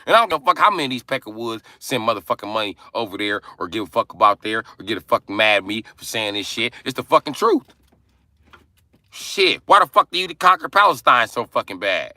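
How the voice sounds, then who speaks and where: A young adult man ranting angrily and forcefully on a livestream from inside his car. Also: on the low side, expressive, brisk, no echo. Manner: angry, forceful, profane ranting. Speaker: livestream host. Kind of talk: angry profane livestream rant.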